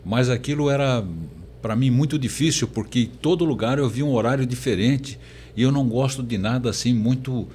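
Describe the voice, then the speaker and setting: An older man with a mid-pitched voice speaks as a professor being interviewed. He tells his story conversationally, pausing and repeating words as he searches for them. A faint noise sits behind the voice. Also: a little mellow. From born in Portugal, emigrated very young. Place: recording studio.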